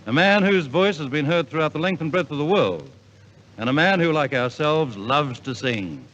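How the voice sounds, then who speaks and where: A man's voice: fairly high pitch, expressive, moderate pace, fairly bright tone. A man speaking as a formal, showmanlike compere introducing a 1950s radio variety show. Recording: muffled, hissy 1950s radio recording.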